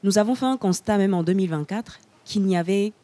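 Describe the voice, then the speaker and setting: A young woman, a slam poet, explaining her show in a measured way in a TV studio interview. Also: mid-range voice, mellow. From Togo. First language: Lugba.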